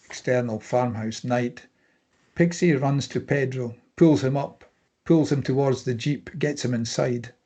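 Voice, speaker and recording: A man's voice: mid-range voice, measured pace, mellow. A man narrating a screenplay, reading scene headings and stage directions at a table read.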